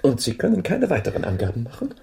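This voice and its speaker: An actor playing a keen, self-assured male detective in a German radio drama, deliberate and musing as he questions and deduces. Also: medium pitch, huge pitch swings, creaky, a little mellow, breathy edge, heavily acted. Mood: analytical, probing.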